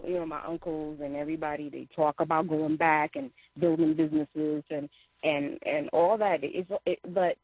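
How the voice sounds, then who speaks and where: A woman's voice: deep voice, no room sound. A young adult woman from Liberia, calling in to a talk show and speaking with passion, with many fillers and restarts. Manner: impassioned, halting with many fillers. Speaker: caller. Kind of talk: phone-in call to a talk show. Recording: tinny dry phone line.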